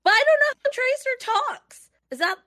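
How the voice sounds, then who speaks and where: A woman's voice: extremely high pitch, animated, moderate pace, pushed and bright. A woman chatting playfully on a casual podcast.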